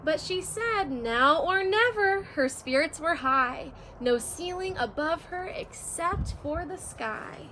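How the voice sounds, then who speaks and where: High-voiced woman reading a rhyming story. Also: animated, takes it slowly, few pauses, sharp tone, slight background noise, rough recording. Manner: sing-song, rhythmic storytelling. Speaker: teacher reading to children. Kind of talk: reading a rhyming picture book aloud.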